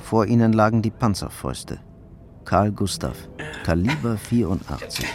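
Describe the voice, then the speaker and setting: Low-voiced male crime narrator. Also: expressive, normal speed, background noise. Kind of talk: scripted narration of a crime drama.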